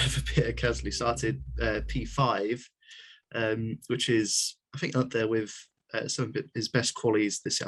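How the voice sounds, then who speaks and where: A man's voice: on the low side, slightly rough, rough recording. A man with a cold who co-hosts a casual podcast over a video call, rambling through long answers full of restarts and small chuckles. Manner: rambling, with many fillers, restarts and small laughs. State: has a cold. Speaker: podcast co-host.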